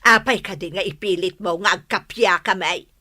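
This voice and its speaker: A woman's voice: fairly low pitch, moderate pace, bright, forceful tone, no echo, studio quality. A woman playing a proud, obstinate older mother who scolds and exclaims, in an Ilocano comedy radio drama. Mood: stubborn.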